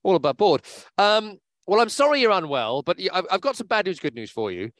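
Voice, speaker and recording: A man's voice: high voice, very animated, fairly quick, a bit bright, no room sound. A man hosting a football podcast, talking in a chatty, upbeat way with many fillers. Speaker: podcast host. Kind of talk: casual podcast chat. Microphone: remote podcast mic.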